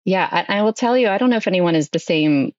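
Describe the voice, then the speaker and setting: A woman's voice: lowish voice, lively intonation, quick pace, darkish tone, dry recording, muffled recording. A woman telling a personal story as a podcast guest, conversationally, often acting out bits of dialogue.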